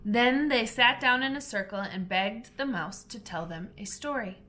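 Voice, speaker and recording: A woman reading a children's audiobook in an expressive, deliberate storybook narration style. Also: fairly high pitch.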